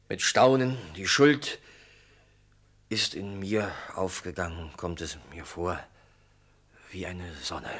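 Guilty salesman, really low husky voice, halting and humble, radio drama confession style. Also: full character voice. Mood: awed. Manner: halting, earnest, often hushed. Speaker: actor. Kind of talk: German radio play acting.

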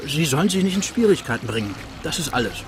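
An actor playing an easygoing, modest police officer in a radio drama, talking in a casual, understated, conciliatory way. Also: male voice, fairly high pitch, very animated, quick pace, background noise. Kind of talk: naturalistic radio drama acting.

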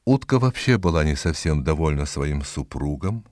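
A man narrating an animal tale as a theatrical, expressive storyteller, reading from a script. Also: very deep voice, normal speed, dry recording, studio quality.

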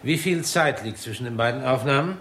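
An actor playing a sceptical, excitable male observatory director in a 1960s German radio drama, expressive and questioning. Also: mid-range voice, a little fast, slight background noise, close to an everyday voice. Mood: astonished, questioning.

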